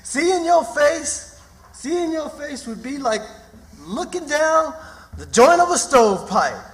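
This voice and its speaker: Folksy Southern runaway, high-pitched, slow and superstitious, in a reverberant radio play. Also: male voice, sing-song, fairly bright tone, exaggerated voice. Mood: amused, teasing. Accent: American South. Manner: folksy dialect, drawling. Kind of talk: radio theatre acting. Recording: long reverberant hall sound.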